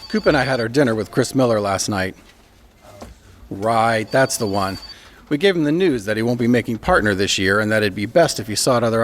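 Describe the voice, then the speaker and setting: A man acting as a businesslike senior boss in an audio drama. He talks matter-of-factly at an average pitch, as if chatting on a call. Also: natural voice. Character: matter-of-fact, businesslike male law firm boss on a call.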